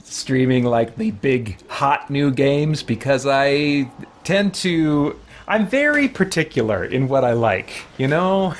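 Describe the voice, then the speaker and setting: Male livestreamer, average pitch, loose self-effacing chat with viewers while playing, slight background noise. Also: lively intonation, slow. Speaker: game streamer.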